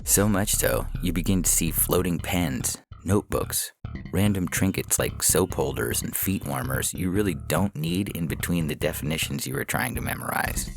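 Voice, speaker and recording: A man's voice: deep voice, animated, normal speed, gravelly. A man narrating a scripted short story as a podcast reader, in the second person. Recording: music bed under the voice. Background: music.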